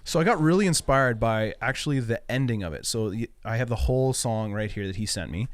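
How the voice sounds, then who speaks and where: A man's voice: medium pitch, animated, a little fast, over music. Upbeat young man explaining his remix. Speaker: music producer. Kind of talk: explaining a remix in a studio walkthrough.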